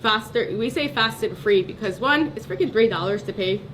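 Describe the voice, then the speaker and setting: A woman's voice: on the high side, expressive, normal speed, fairly bright tone. A woman speaking as a political candidate in a casual, earnest, emphatic interview style. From New York City. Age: 30s or older. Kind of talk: casual conversational advocacy. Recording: faint background ambient noise.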